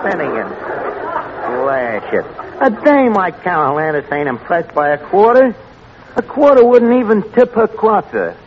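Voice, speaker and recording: Casting: lowbrow wisecracking New York tavern boss, high animated voice, 1940s radio comedy, noisy vintage recording. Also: male voice, measured pace, crowd noise. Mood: exasperated. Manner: streetwise, mangled grammar, sardonic. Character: wisecracking, scheming lowbrow tavern manager, malapropisms, big ideas. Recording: muffled old radio recording, audience, noisy.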